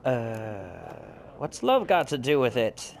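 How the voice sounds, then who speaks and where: Creaky-voiced man doing casual game commentary. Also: mid-range voice, very animated, slow pace. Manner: musing aloud, informal. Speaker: gaming video host. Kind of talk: casual let's play commentary, reading game text aloud.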